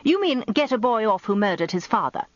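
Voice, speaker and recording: An actress playing a sharp-tongued, merciless older wife in a radio drama, British, with a dry, imperious manner. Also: female voice, fairly high pitch, big pitch swings, a little fast, dry recording, highs cut off. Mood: dry disapproval. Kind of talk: radio drama first-person narration and dialogue.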